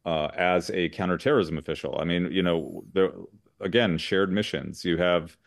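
Low-voiced American man, earnest. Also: vocal fry, no echo, clean studio sound. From Southern California. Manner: earnest, measured, many fillers and restarts. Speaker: guest, lawyer. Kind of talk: interview conversation.

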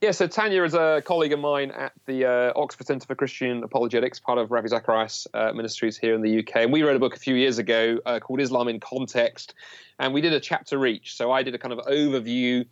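An English man explains in a mid-pitched voice, animated and good-humoured. The sound is dull and slightly muffled. The room is dry and close. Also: fast, no echo. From England. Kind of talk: radio interview.

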